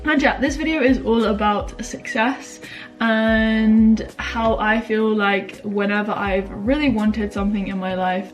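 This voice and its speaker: A young British woman with a slightly nasal, stuffed-up voice from a cold, talking earnestly to her channel viewers in a chatty, motivational way with lots of fillers. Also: mid-range voice. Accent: British English. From United Kingdom. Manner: earnest, chatty, many "like" and "you know" fillers. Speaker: YouTube vlogger. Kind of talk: casual motivational talk to camera.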